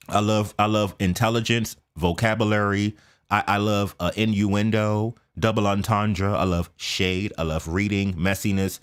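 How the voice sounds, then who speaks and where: A man's voice: lowish voice, clean tone. An American man hosting a reaction video, talking casually to his viewers with many fillers and restarts and often checking that they follow him. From United States. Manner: chatty, lots of fillers and restarts, asks viewers for agreement. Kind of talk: casual commentary to camera.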